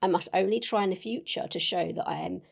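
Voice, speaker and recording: Young Victorian lady acted by a woman: sentimental letter-writer, gushing yet earnest, lowish pitch with audible fry. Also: fast talker, heavily acted. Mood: happy, gushing. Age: young adult. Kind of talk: dramatic reading of a letter.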